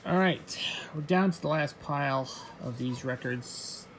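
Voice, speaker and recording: A man casually showing his record collection, reading out titles in a halting, offhand way. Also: on the high side, slight background noise. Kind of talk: casual show-and-tell vlog.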